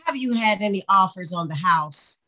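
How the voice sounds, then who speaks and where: An older woman playing a wry, guarded veteran journalist with a dry, measured manner, in a play reading. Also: mid-range voice, expressive, pushed and bright. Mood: cool, wry. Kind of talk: staged play reading over video call. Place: indoors, on a video call. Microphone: video call microphone.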